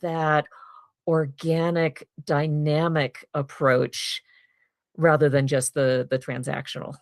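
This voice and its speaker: Woman, low voice, very unhurried pace, thoughtful, reflective, dry close sound. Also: little pitch movement, no room sound. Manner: thoughtful, searching for words. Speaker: audience participant. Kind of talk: reflective casual comment.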